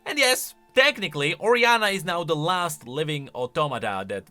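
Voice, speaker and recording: A man's voice: a little high, animated, moderate pace, sharp tone, soft background music. A man hosting casual reaction and explanation commentary, talking in an emphatic, conversational way. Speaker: video host.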